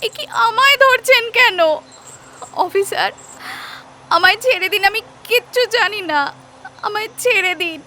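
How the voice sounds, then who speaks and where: A woman's voice: very high voice, a little slow, long pauses, sharp tone, slight background noise, full character voice. A woman acting a panicked wife in an audio drama, pleading and protesting her innocence in repeated exclamations. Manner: frantic, breathless exclamations and repeated pleas.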